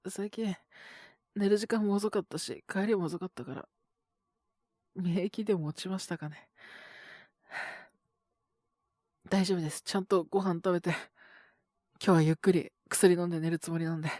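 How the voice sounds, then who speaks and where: A man's voice: sits high, moderate pace, pauses often, a little mellow, no echo, studio quality, natural voice. A man acting an earnest, polite young junior coworker who is sick with a cold, coughing and sighing in an intimate Japanese voice drama. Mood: polite, grateful. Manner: polite and deferential on the phone, sighing, murmuring to himself.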